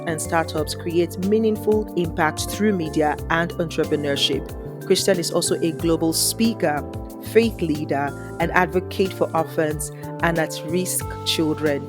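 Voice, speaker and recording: A woman with a lowish voice hosts a podcast with bubbly, excited energy and cheerful greetings. Clear music plays behind her. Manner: upbeat, bubbly, excited exclamations. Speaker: podcast host. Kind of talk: podcast interview.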